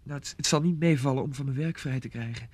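An actor playing a reluctant young boyfriend in a radio play, stalling and defensive. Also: male voice, medium pitch, quick pace, a little mellow, no echo, close to an everyday voice. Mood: evasive. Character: evasive, reluctant young boyfriend making excuses. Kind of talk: Dutch radio play acting.

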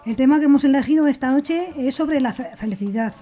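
A woman radio host from a Spanish programme, speaking. Her voice sits fairly high and has a soft, mellow tone. Some music plays behind her. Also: brisk. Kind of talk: radio introduction and group discussion.

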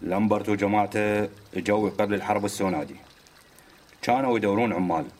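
A man's voice: fairly low pitch, racing, a little mellow, natural voice. An actor playing a short, stocky, sly Iraqi man in a radio drama, a native Arabic speaker, haggling and matter-of-fact. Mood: informative.

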